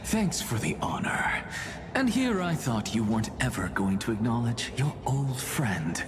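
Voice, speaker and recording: A man's voice: medium pitch, sing-song, a little slow, background noise. A man playing a theatrical, teasing scholar in a video game, sly and sardonic as he probes. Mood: wry. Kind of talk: scripted video game dialogue. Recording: loud background music.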